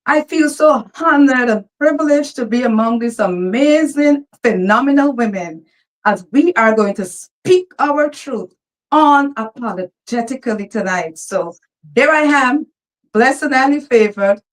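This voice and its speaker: A woman's voice: on the high side, animated, measured pace, rough recording. A woman from Guyana with a Guyanese accent, a minister greeting a talk show host with fervent, churchy phrasing. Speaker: guest, minister.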